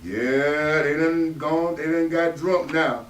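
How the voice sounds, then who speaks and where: A man's voice: fairly high pitch, slow pace. A man with a Southern American accent playing an embittered, protective father in a stage reading, in emphatic folksy speech. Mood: defiant, angry. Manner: emphatic, folksy vernacular speech with dramatic swells. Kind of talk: stage readers theatre acting. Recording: echoey room, distant stage microphone.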